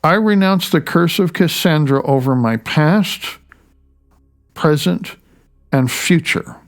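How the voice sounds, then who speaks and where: A man reading his own audiobook as its author, in a deliberate, emphatic way, phrase by phrase. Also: fairly high pitch, animated, faint music behind. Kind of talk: audiobook narration with recited affirmations and asides.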